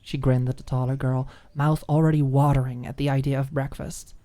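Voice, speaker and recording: A woman reading a scripted story as an audiobook narrator, in an expressive ASMR storytelling style. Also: extremely low pitch, fairly quick.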